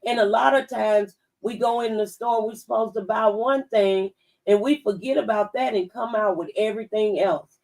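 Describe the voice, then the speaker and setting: A woman's voice: medium pitch, normal speed, vocal fry, a little mellow. Woman speaking a bit far from the mic. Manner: folksy, preachy, gives advice through plain analogies. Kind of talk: casual radio talk-show chat. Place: radio studio.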